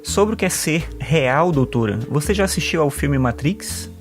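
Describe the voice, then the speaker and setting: A man's voice: a little high, expressive, normal speed, lightly acted. A man playing a talkative male philosopher and sociology teacher in an audio drama, earnest and fond of quoting thinkers, with faint music underneath. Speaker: patient.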